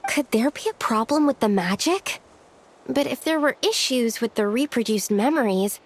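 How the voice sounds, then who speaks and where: An actress playing a polite, earnest young magic researcher with a formal, careful manner in a video game. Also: female voice, a little high, expressive, moderate pace. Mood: concerned.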